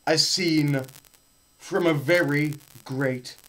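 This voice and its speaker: A young man giving a dramatised science lecture, theatrical, swinging between shouts and hushed murmurs, with pauses and repetition for effect. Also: on the high side, steady tone, poor audio. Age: young adult. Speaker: presenter. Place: small bare indoor room.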